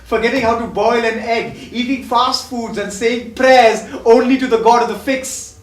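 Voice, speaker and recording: A man's voice: very high-pitched, fairly even intonation, moderate pace. A man acting a sick, tormented addict confessing lost love in an impassioned, poetic stage-play style. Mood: scornful. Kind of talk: theatrical stage play dialogue. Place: theatre stage, recorded from afar. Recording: distant, echoey, noisy stage recording.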